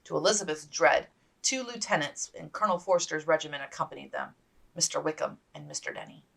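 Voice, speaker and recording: A woman narrates a period novel as its author, reading from the page. Her voice is on the low side with plenty of gravelly fry. She sounds a little distant from the microphone. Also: very animated, fairly quick, a bit bright. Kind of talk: scripted audiobook narration.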